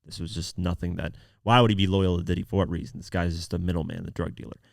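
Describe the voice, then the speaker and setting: A man with a very low-pitched voice hosts a podcast, talking through the news in an informal way. His throat is sore, so the voice is not at full strength. The sound is close and dry, with very little room in it. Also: level tone, fast talker, gravelly, dry recording. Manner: informal, conversational, reads articles aloud then comments. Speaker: podcast host. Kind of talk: casual news commentary podcast.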